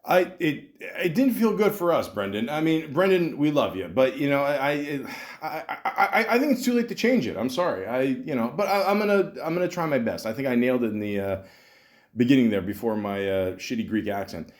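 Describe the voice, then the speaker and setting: A man's voice: mid-range voice, very animated, fairly quick. A man hosting a podcast, delivering a rambling, profane comic monologue full of restarts. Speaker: podcast host. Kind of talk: casual comedic monologue.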